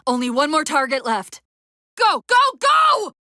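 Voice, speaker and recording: A high, lively female AI companion from a sci-fi video game. She gives crisp military commands and briefings with brisk, wry urgency, her pitch moving freely, recorded very dry and close. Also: dry recording, full character voice. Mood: urgent, alarmed.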